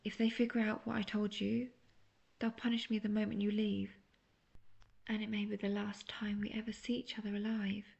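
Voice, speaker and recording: Female actor as scheming captive witch for fantasy audio drama: seductive, intimate, husky and breathy, with heavy vocal fry. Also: medium pitch, little pitch movement, moderate pace, darkish tone, muffled recording. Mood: timid, secretive. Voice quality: breathy, often near-whispered. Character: manipulative, seductive imprisoned witch feigning innocent helplessness.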